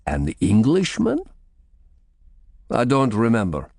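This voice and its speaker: Man doing a slow, lisping monk. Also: mid-range voice, wildly swooping pitch, vocal fry, no room sound, studio quality, full character voice. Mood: grave, gentle. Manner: slow, soft, measured. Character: gentle, grave foreign monk with dark face.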